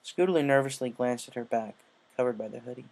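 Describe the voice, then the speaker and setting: A man narrates a written story at a middle pitch. His voice has a noticeable creak and fry. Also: fast, darkish tone. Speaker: story reader. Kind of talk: scripted fan-fiction narration.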